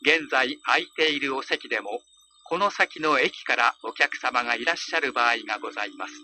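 A man's voice: mid-range voice, pushed and bright, low-quality recording. A man reading a formal, polite scripted train announcement with precise enunciation over onboard PA speakers. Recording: train PA recording with hum and noise.

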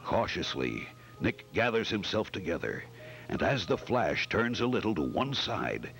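Over soft music, a man acting as a radio serial narrator speaks low. He tells the detective story with suspense and widely varied pitch, in measured 1940s style. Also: very animated, gravelly, full character voice. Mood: suspenseful. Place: hotel function room stage, indoors.

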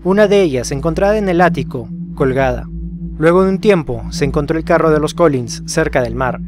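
A man's voice: fairly high pitch, expressive, a little fast. A man narrating a scripted horror case as a storyteller, putting rhetorical questions to the listener. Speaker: narrator of a horror explainer video. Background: music behind the voice.

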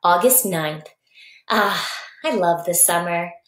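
A woman's voice: on the low side, slow, a bit bright, a little hiss or hum. A woman voicing a small, cocky young male praying mantis reading out his diary in playful, boastful short sentences, storybook style. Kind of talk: expressive children's storybook reading. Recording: echoey basement room.

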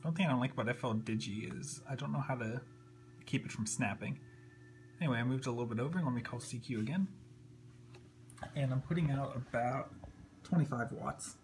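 Guy with a gravelly voice doing a casual how-to at his desk, bit of hum behind him. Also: mid-range voice, brisk, long pauses. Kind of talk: casual unscripted tutorial narration. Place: indoors at a computer desk. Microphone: handheld camera, a little off the screen. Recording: faint background hum and noise.

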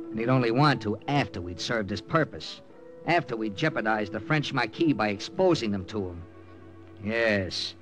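An actor playing a terse, commanding American wartime intelligence captain with an American accent in an old-time radio drama. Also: male voice, lowish voice, close to an everyday voice. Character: hard-boiled American OSS captain narrating his wartime mission. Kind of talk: 1940s-50s radio drama narration and acting. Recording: vintage radio drama with music.

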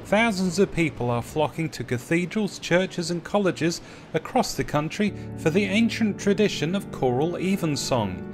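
A man's voice: a little high, lively intonation, normal speed, few pauses. A man reading scripted newsreel narration as a reporter. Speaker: news reporter. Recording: music behind the voice-over.

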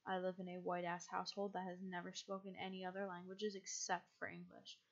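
A young woman from the United States, chatting casually and rambling as she streams a game, with a husky, quiet voice and frequent laughs. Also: fairly low pitch, monotone, a little fast, muffled recording.

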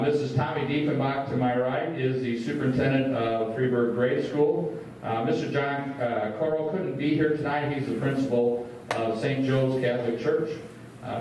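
A man speaks at a podium in a big school meeting room. His voice sits at an ordinary pitch and comes from some way off the mic. It rings with a strong echo and a faint hiss. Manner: plain, steady, many filler words. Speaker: school superintendent. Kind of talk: public meeting address. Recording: roomy echo, distant from the mic.

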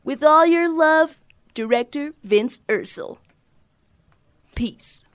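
A woman's voice: high-pitched, expressive, slow pace, no echo, studio quality. A woman playing a perky radio DJ co-host in a radio drama, announcing cheerfully.

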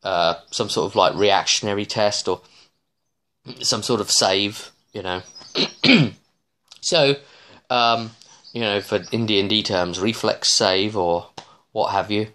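A man in his thirties with a fairly low voice talks casually in a small living room, picked up by a camera about an arm's length away. He recaps as a tabletop game master in a halting, digressive way, often restarting mid-sentence. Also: bright, forceful tone, slight background noise. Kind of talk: casual, rambling vlog recap.

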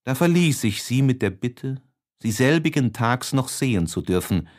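A man narrating a literary audiobook in an expressive, measured, dramatic way. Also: medium pitch.

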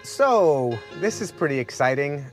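A man in his forties chatting to the camera from inside a parked car, relaxed and easygoing. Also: a little high, measured pace, faint music behind. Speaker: delivery driver vlogger. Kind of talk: casual vlog narration. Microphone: clip-on lapel mic.

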